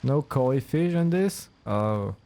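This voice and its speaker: A man's voice: medium pitch, animated, takes it slowly, warm tone. A man doing casual let's play commentary as host of a game playthrough. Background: game music.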